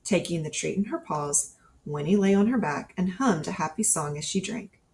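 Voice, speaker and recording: A woman with a slightly low voice reads a children's story aloud. Her delivery is scripted storybook narration, expressive and ready to act out the dialogue. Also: moderate pace, creaky. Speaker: storybook reader.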